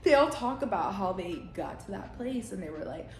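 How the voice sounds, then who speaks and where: Young woman vlogger, Spanish first language, blunt and salty, middle-pitched, echoey room. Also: very animated, normal speed. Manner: blunt, profane, rambling with frequent laughs. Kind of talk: unscripted casual monologue. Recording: roomy echo.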